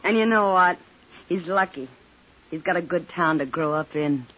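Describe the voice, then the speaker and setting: A woman's voice: fairly low pitch, moderate pace, a little hiss or hum, lightly acted. An actress playing a proud, doting small-town mother in a 1950s radio drama. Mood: proud, excited. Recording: dull old radio recording.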